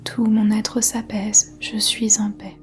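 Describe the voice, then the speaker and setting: A woman guiding a yoga nidra meditation in a soft, breathy, whispery voice, calmly and in measured short phrases with gentle pauses. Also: mid-range voice. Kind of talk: guided meditation, slow soothing instruction. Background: faint music.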